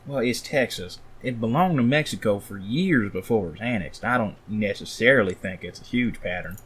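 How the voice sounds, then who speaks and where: A man acting a measured, formal ghost hunter with a deadpan manner in an audio drama. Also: lowish voice, breathy edge. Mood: dry.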